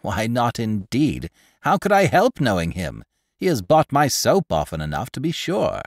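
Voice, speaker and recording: A man's voice: mid-range voice, wildly swooping pitch, normal speed, no echo, clean studio sound, voice pushed up. A man voicing a stout, gossipy older woman shopkeeper in an audiobook, chattering in a breathless, exclamatory way. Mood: mocking. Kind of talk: audiobook character reading.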